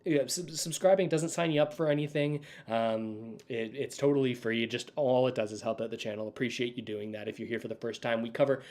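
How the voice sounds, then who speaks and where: A man's voice: mid-range voice, steady tone, fairly quick, few pauses, darkish tone. A man from Canada hosting a livestream, talking casually and off the cuff to viewers. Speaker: livestream host. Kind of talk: casual unscripted livestream commentary.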